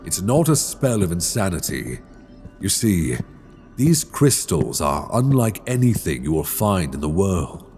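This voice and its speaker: A man playing an ancient dragon king in an intimate ASMR roleplay, tender and wry, with courtly, teasing phrasing and a husky voice. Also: low-pitched, very animated, unhurried, a little mellow, faint music behind. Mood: gentle, explaining. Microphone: close binaural microphone.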